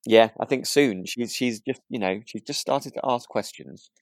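A man's voice: medium pitch, normal speed, dry recording. A man co-hosting a podcast, chatting casually in a tentative, musing and rambling way. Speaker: podcast co-host. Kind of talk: casual chat about a radio drama.

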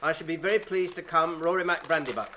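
A good-natured young hobbit in a radio play, polite and keen. The English voice is high and bright, recorded over slight noise and clicks. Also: male voice, fast talker. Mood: amused. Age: young adult. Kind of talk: radio drama acting.

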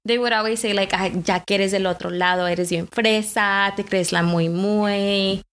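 A young woman, born in Mexico and raised in South Texas, co-hosts a podcast in an easy, chatty way. She talks slowly and loosely, with many fillers and restarts. Her voice sits at a middling pitch. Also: fairly even intonation, fairly bright tone. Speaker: podcast co-host. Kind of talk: casual chat.

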